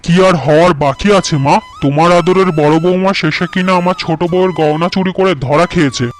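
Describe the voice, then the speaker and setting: A gullible young farmer in a cartoon, quick to anger and blustering, as a man voices him. His voice sits high for a man and turns stern and scolding. Soft music plays underneath. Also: fairly even intonation, natural voice. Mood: indignant.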